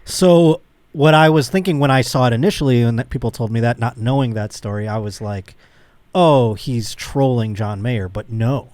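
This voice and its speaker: A man's voice: mid-range voice, normal speed, darkish tone, no room sound. A man hosting a casual comedic podcast, rambling and joking as he speculates. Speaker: podcast host.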